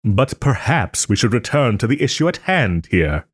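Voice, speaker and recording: A man playing a haughty but tender wronged nobleman in a romantic audio roleplay, speaking theatrically with formal, old-fashioned phrasing and hesitant pauses. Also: medium pitch, sing-song, husky, no echo, clean studio sound. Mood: measured, reluctant. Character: wronged young nobleman, haughty, wounded, still tenderly caring.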